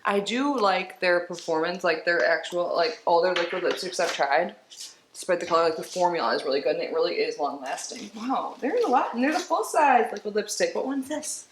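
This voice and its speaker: A woman's voice: on the low side, lively intonation, fairly quick. A woman with a stuffy, congested voice chats her way through a beauty box unboxing at home. She rambles along casually, dropping small laughs between thoughts. The room sounds roomy and echoey, with a faint hiss of noise behind her.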